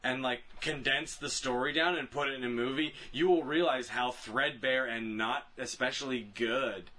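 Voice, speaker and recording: A man with a bright, forceful voice and a middling pitch talks as a podcast co-host. His delivery is casual but emphatic and opinionated, and he restates phrases for stress. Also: steady tone, moderate pace. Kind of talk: casual opinionated discussion of films and games.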